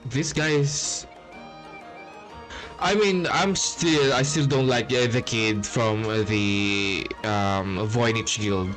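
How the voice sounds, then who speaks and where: A man's voice: medium pitch, slow pace, fairly bright tone. A man giving casual gameplay commentary in an offhand, hesitant way. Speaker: gamer. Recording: faint game music behind.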